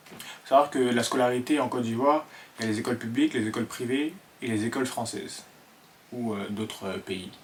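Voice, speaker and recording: A man in his twenties from Ivory Coast with an Ivorian French accent, talking to camera in an earnest, halting way full of fillers. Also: fairly low pitch, slight background noise. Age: 20s. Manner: hesitant, many fillers and restarts, earnest. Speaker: vlogger. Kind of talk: casual talk to camera.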